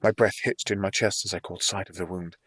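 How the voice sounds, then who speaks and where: A man's voice: low voice, steady tone, fairly quick, a bit bright, breathy edge, no room sound, studio quality. A man reading a scripted first-person fantasy audiobook narration.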